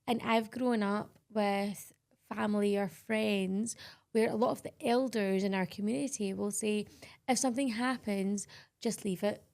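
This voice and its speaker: A woman's voice: mid-range voice, fairly even intonation, a little slow, vocal fry, a little mellow. A Scottish woman hosting a podcast, talking candidly and emphatically in casual interview chat. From Scotland. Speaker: podcast host.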